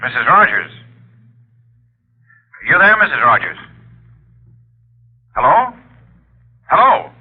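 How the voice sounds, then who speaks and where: An actor playing a businesslike police officer on the telephone in a 1940s radio drama, brisk and matter-of-fact. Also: male voice, on the high side, very animated, exaggerated voice. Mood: checking, concerned. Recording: muffled, rough old radio recording.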